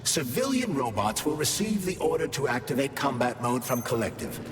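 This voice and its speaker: An actor playing a polite, erudite AI glove companion in a video game, speaking formally and articulately in an explanatory way. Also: male voice, mid-range voice, sing-song, moderate pace, lightly acted. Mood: informative, concerned. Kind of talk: scripted video game dialogue. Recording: music behind.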